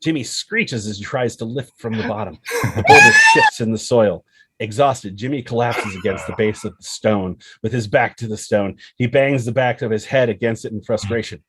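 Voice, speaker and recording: A man's voice: lowish voice, wildly swooping pitch, moderate pace, a bit bright, a little hiss or hum. A man narrating a screenplay, reading out scene headings and action descriptions as scripted storytelling.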